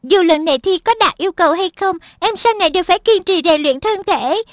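A woman voicing an earnest young boy, the little brother, in a dubbed cartoon, with a high childlike voice. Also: lively intonation, fairly quick, no room sound. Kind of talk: cartoon voice dub.

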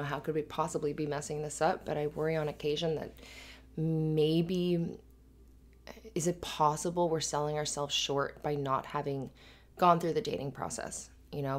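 A woman playing an affectionate, earnest, slightly anxious live-in girlfriend in a conversational, rambling, hesitant ASMR roleplay. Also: low-pitched. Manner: rambling, hesitant, giggly asides. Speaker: audio roleplay performer.

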